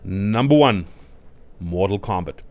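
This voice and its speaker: A man's voice: sits low, animated, measured pace, background noise. A man presenting a scripted film review as a ranked list, in the manner of a video narrator. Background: music.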